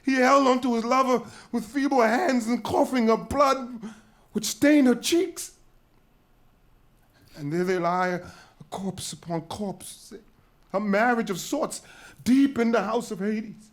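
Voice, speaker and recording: A grave messenger from Greek tragedy, with a high-pitched voice. He is shaken by what he has seen and lays out terrible news in measured, vivid phrases for a staged reading. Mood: intense, harrowing. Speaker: actor in a dramatic reading.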